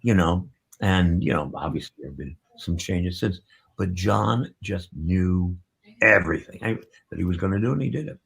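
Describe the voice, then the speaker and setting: A man being interviewed, reminiscing about his work in a halting, reflective way. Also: deep voice, creaky, fairly bright tone, breathy edge. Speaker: interviewee, theatre writer.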